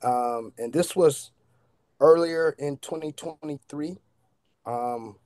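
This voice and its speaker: A middle-aged man chatting casually as a radio guest, halting often in mid-sentence. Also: on the high side, a little mellow, no room sound. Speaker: radio guest, cannabis strain reviewer. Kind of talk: casual chat.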